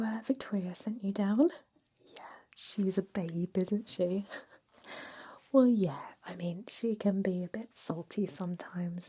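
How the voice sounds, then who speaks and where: Woman acting a whispering, chatty female vampire. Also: mid-range voice. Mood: casual, friendly. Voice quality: soft, breathy whisper. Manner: informal, chatty, confiding, with hesitant ums. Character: chatty, laid-back, gossipy female vampire staffer interviewing a recruit. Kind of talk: whispered ASMR roleplay.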